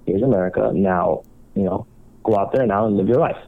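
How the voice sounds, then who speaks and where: A man who was born in Cuba and works as a marriage counselor calmly tells his life story as a guest on a talk show. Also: lowish voice, brisk, gravelly. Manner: calm, reflective storytelling. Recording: tinny phone-line sound.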